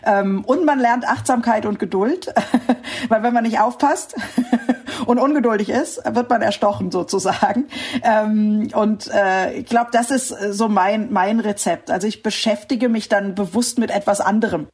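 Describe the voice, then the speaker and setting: A woman's voice: mid-range voice, a little slow, darkish tone, husky. A middle-aged woman from the Rhineland, answering interview questions in a thoughtful way, often searching for words and laughing easily. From Rhineland, Germany. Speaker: interview guest. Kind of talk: reflective conversational interview.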